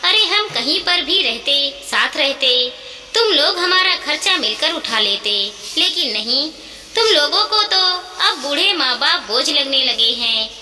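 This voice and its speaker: A woman's voice: sits high, expressive, measured pace, bright, forceful tone, heavily acted. A woman voicing a proud, hurt elderly mother in an emotional, emphatic moral story. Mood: indignant, hurt. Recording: echoey reverberant room, clear background noise.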